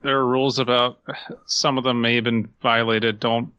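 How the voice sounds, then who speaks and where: A man's voice: mid-range voice, level tone, normal speed, sharp tone, clear, resonant tone. A man co-hosting a comedy podcast, giving casual commentary in a dry, sardonic, dismissive way. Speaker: podcast co-host. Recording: dull, lo-fi but dry audio.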